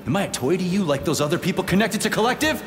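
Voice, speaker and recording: A hot-tempered soldier barks aggressively at a high, wildly swinging pitch. It is blunt game cutscene acting that rings through a reverberant hall with music behind. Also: male voice, big pitch swings, rapid-fire. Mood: furious, betrayed. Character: hot-tempered, foul-mouthed male soldier.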